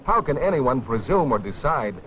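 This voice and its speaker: A man giving solemn, measured dramatic narration for a safety training documentary, with weighty pauses. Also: medium pitch, sing-song, fairly bright tone, slight background noise. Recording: dull, band-limited vintage film soundtrack. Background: background music.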